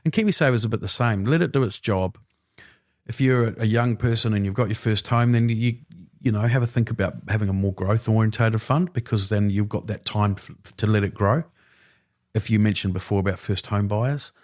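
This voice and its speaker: A man in his fifties with a New Zealand accent, a guest explaining finances in relaxed, chatty talk. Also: lowish voice, normal speed. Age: 50s. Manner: relaxed, chatty, frequent filler agreements. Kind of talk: casual interview chat.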